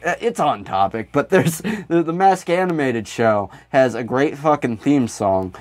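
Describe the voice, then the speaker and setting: A man's voice: medium pitch, lively intonation, a little slow, dry recording. Profane, joking male podcast co-host. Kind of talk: casual comedic chat, anecdotes.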